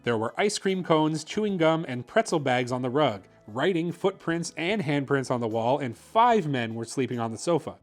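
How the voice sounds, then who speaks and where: A man with a fairly high voice reads a bedtime story for kids. His delivery is expressive storytelling, with music playing faintly behind him. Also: moderate pace, few pauses. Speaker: host reading children's picture books.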